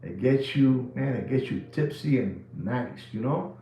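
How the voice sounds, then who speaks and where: A New man in his sixties rambles through a live watch podcast, cracking jokes and slinging slang. His voice sits at a middle pitch with a gravelly, creaky edge. The room is echoey, with faint music playing in the background. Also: a little slow, slight background noise. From New York City. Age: 60s. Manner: animated, jokey storytelling with slang.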